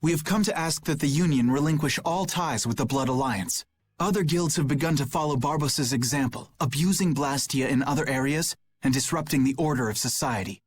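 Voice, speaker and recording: A man voicing an earnest, dutiful young knight in an anime video game, speaking formally and politely with a slightly husky voice. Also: mid-range voice, brisk, lightly acted. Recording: background music behind the voice.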